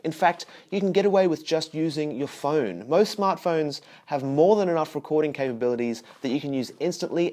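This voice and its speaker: A young man teaching bass, talking straight to the viewer in a chatty, explaining way and asking questions as he goes. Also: a little mellow. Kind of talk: direct-to-camera instructional talk.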